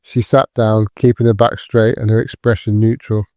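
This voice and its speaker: A man's voice: fairly low pitch, little pitch movement, normal speed, mellow, dry recording, clean studio sound. A man reading scripted narration for an audio drama.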